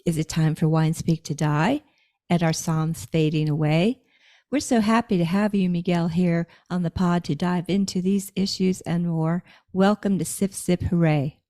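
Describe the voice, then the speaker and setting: A woman's voice: fairly low pitch, fairly even intonation, normal speed, warm tone. A woman co-hosting a podcast, reading a scripted introduction of the guest. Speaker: podcast co-host.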